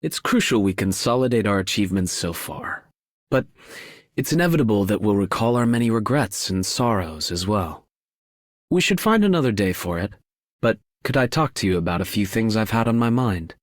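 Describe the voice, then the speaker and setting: A man's voice: on the low side, very animated, normal speed, dry recording, studio quality. A man voicing an earnest, burdened confidant in a scripted video game line. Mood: earnest, reflective.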